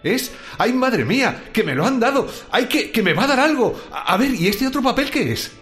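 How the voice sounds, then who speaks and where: An actor playing a flustered middle-aged worker who talks excitedly to himself in a radio drama. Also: male voice, very high-pitched, normal speed, echoey room, soft background music, heavily acted. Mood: overjoyed.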